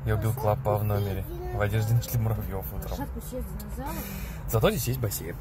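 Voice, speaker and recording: A young man narrating a casual travel vlog into a phone held at arm's length, talking in a relaxed, slangy way. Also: fairly low pitch, fairly quick, over a noisy crowd. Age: young adult. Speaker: travel vlogger. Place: outdoors in a city and on a ferry.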